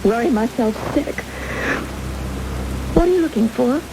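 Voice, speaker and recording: A high-voiced, loving captive wife in an old radio drama. She sounds anxious, loyal and breathlessly earnest. Loud rain-like hiss runs through the broadcast. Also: expressive, a little slow, vocal fry. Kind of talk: vintage radio drama acting.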